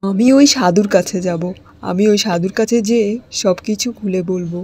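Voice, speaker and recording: A woman's voice: medium pitch, normal speed, darkish tone, lightly acted. A woman voicing a cruel, scheming middle-aged stepmother in a cartoon, menacing and threatening.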